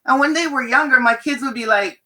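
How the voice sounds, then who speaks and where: Guest from Canada, woman; animated storyteller, slightly high, bright tone. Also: fairly quick. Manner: animated storyteller, acts out quoted voices. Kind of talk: panel conversation.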